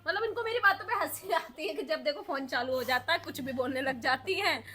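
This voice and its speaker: A woman with a fairly high voice hosts a casual daily vlog. She is chatty and addresses her audience directly, like friends and family. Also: a little fast, bright, forceful tone. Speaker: vlogger filming her daily home routine.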